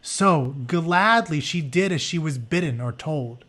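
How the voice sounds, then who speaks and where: A man's voice: a little high, lively intonation, unhurried, a bit bright. A man teaching through a read-aloud, mixing narration with informal, conversational explanations as he goes. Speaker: teacher reading a book aloud.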